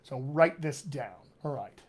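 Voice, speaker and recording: A man's voice: mid-range voice, animated, a little slow. A man with a General American accent with hints of West Texas and upstate New York, hosting a casual live Q&A as a dialect coach in an upbeat, teacherly way. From Colorado, USA.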